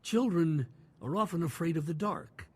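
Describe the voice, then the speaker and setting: A man as announcer and narrator of a radio drama series, reading in a solemn, measured way. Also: on the high side, animated, darkish tone, husky, no echo. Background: music bed.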